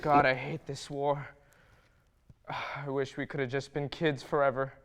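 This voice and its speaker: A man's voice: mid-range voice, flat delivery, a little slow, a bit bright. A man playing a brash young soldier in a stage musical, earnest and dramatic. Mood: remorseful, weary. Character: brash young soldier, jealous schoolmate turned remorseful. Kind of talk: theatrical acting.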